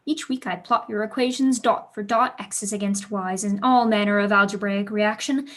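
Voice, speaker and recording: A woman's voice: mid-range voice, fairly even intonation, moderate pace, a bit bright, close to an everyday voice. A woman playing a precocious, passionate teenage pupil in a staged period play, eager, witty and argumentative. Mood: indignant.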